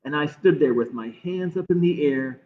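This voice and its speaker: A man reading a picture book aloud to children with measured, expressive pauses. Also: fairly high pitch. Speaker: library storytime reader. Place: livestream from a closed-library online program. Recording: muffled phone-like streaming audio.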